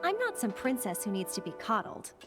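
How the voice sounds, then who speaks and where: Spirited young heroine, very lively pitch. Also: female voice, a little fast. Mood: defiant. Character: spirited, independent young woman, game heroine. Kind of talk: video game voice acting. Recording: game clip with music over it.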